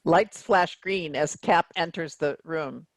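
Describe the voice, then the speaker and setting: Guy narrating stage directions from a script, high voice, dry close sound. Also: slow pace, no room sound.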